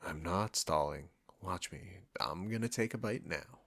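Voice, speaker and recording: A man's voice: sits low, unhurried, gravelly, a bit bright, exaggerated voice. A man playing a cocky, condescending chef who teases a longtime rival, in an intimate roleplay audio drama spoken to the listener. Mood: grudgingly fond. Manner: smug, bantering, teasing.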